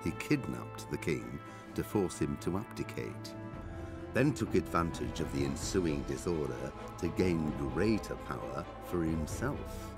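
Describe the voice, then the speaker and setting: An actor narrating a medieval history as a grave, measured storyteller in a video game cutscene. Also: male voice, rumbling low, animated, heavily acted. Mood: solemn. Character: solemn male storyteller recounting a medieval history. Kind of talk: scripted dramatic story narration. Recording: loud music under the voice. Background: loud music.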